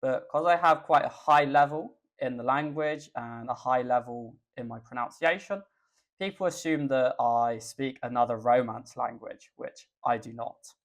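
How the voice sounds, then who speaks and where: A young Englishman with an English accent, a guest chatting in a podcast interview, relaxed and thoughtful with the odd um. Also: medium pitch, moderate pace, creaky. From England. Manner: relaxed, thoughtful, with filler ums. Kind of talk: casual interview chat.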